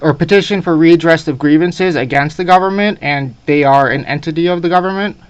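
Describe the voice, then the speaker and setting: Male probationer on a phone call, smooth slightly high voice, earnest, halting delivery. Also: no room sound. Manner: hesitant, earnest, frequent "you know". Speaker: probationer on a call with his officer. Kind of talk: phone conference conversation. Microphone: recorded phone call.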